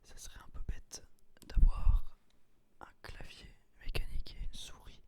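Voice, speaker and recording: A man whispering a gameplay commentary in ASMR style, explaining things in an unhurried, hesitant way. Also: mellow. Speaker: gaming video creator.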